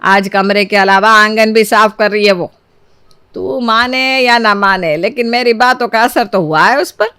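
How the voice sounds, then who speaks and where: A woman's voice: medium pitch, a little slow, bright, forceful tone, smooth, dry recording. A woman voicing an older, scheming mother-in-law in a cartoon moral story, lecturing and self-assured. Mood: scheming, reassuring.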